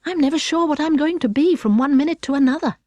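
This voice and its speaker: Woman playing an earnest little girl, high-pitched. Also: quick pace, mellow, dry recording, lightly acted. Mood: musing. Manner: proper, earnest, hesitant when challenged.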